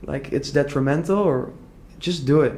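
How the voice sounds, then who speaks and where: A man's voice: fairly high pitch, normal speed, darkish tone. A young man from the Netherlands talking casually and earnestly in an interview, full of "like" fillers and restarts. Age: young adult. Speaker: entrepreneur guest.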